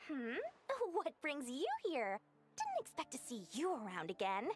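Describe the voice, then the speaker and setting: Shrewd little game merchant, very high voice, animated, coy, melodramatic, music behind. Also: female voice, measured pace. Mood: coy, teasing. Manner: melodramatic, coy, swooping delivery. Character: theatrical, wheedling, shrewd small female merchant in a fantasy game. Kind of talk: video game character acting.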